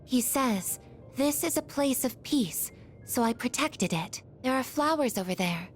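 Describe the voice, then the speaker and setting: A woman's voice: sits high, animated, a little slow, faint music behind. An actress playing a polite, literal-minded female android in a video game, speaking formally and precisely. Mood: matter-of-fact. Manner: formal, precise, matter-of-fact.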